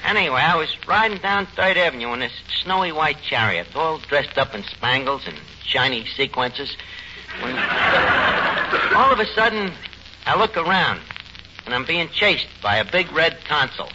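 A man's voice: a little high, very animated, moderate pace, sharp tone, full character voice. A man playing an anxious, blustering tavern manager with a New York accent and slangy bad grammar in a 1940s radio comedy. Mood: mock-tragic. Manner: malapropisms, slangy ungrammatical bluster. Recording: muffled, noisy 1940s radio recording.

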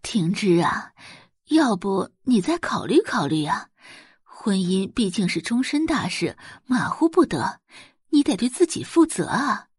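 A woman's voice: medium pitch, very animated, measured pace, husky, no echo, studio quality. Woman acting a fussy, emphatic rich mother. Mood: coaxing. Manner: coaxing, emphatic. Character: indignant, fussy wealthy mother of a grown son.

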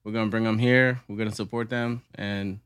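A young man from Liberia, a DJ guest on a podcast, telling his story in a calm, even way. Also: fairly low pitch, fairly quick, clean tone, dry recording, clean studio sound. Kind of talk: casual podcast interview.

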